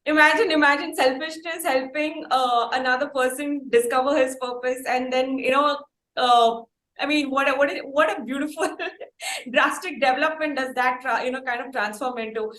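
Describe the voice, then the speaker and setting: High-voiced Indian woman, echoey room. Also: moderate pace, fairly bright tone. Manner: rambling, talkative, wordy questions. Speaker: podcast host interviewing a band. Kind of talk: casual podcast interview. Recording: roomy, echoey sound.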